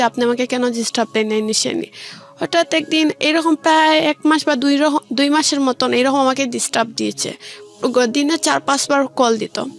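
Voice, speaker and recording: A woman telling her personal story in an interview, in a halting way with rephrasing. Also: sits high. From Sylhet region, Bangladesh. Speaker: guest telling own life story.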